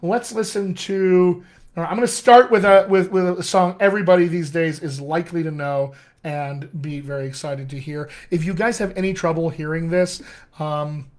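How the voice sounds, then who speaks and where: A middle-aged American man, a dialect coach, teaching a live online class in an animated, friendly way, often calling his viewers "my friends.". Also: a little high, moderate pace. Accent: American English. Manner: animated, addresses viewers as "my friends", chuckles at own asides. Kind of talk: live-streamed teaching session.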